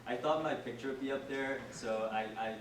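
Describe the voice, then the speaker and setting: Young guy student on a panel, kinda halting, echoey room, mic far off and noisy. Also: medium pitch. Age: young adult. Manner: casual, halting with fillers, laughing at himself. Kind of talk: self-introduction on a panel.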